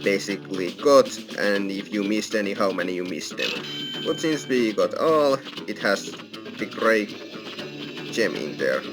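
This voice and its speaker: A low-voiced man hosts a casual game walkthrough. His intonation is lively, and loud game music plays behind him. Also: a little slow. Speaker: gaming video host.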